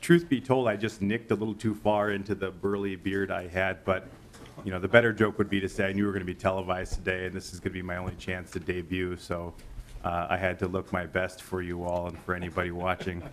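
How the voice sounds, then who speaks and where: A man from Minnesota, an agriculture official, testifying formally to a committee in a halting way. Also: low-pitched, level tone. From Stearns County, Minnesota. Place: legislative committee hearing room. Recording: clear background room noise.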